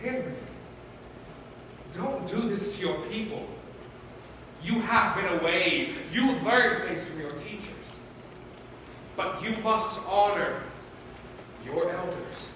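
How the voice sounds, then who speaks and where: A high-pitched male Native fisherman elder speaks with measured, emphatic weight in a stage play, played by an actor. The voice comes from far across a very echoey hall with clear background noise. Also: animated, bright, forceful tone, exaggerated voice. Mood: stern pleading. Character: wise traditional Native fisherman elder, teasing yet solemn. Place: theatre stage.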